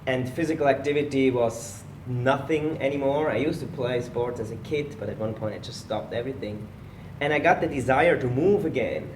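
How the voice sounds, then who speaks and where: A man's voice: medium pitch, normal speed, few pauses, distant mic, noisy background. Man telling his story, roomy sound. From Switzerland. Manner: relaxed storytelling, slightly non-native phrasing. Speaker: guest, personal trainer. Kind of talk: casual podcast interview. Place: gym.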